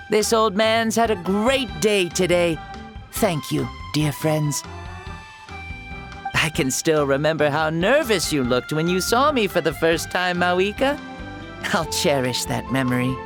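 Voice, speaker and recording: An actor playing a gentle, grateful old man who speaks slowly and kindly. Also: high-pitched, animated. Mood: fond. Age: older. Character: gentle old warrior spirit, male. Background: game music.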